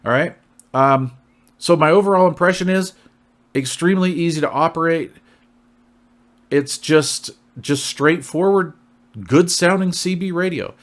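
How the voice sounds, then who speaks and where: A man's voice: fairly high pitch, a little slow, pauses often. An American man hosting a casual product review in a folksy, long-winded, conversational way, often checking in with the listener. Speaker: CB radio reviewer, channel host.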